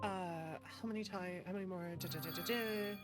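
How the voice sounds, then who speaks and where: A woman's voice: lowish voice, normal speed. A woman livestreaming gameplay commentary, emotive and chatting to her viewers, sometimes trailing off. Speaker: VTuber streamer. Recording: loud game music behind voice. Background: video game music.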